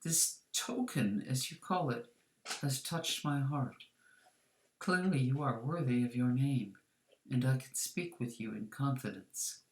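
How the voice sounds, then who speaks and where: A woman voicing an elderly, courteous male crime boss with formal, deliberate speech, in a story reading. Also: very deep voice, a little mellow, reverberant, low-quality recording. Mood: grave, moved.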